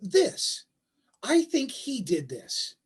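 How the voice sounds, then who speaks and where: A man's voice: very high-pitched, huge pitch swings, extremely slow, breathy edge, exaggerated voice. A man playing a bitter, anguished father and husband in a staged play reading, speaking with emphatic insistence over a video call. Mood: anguished, uneasy.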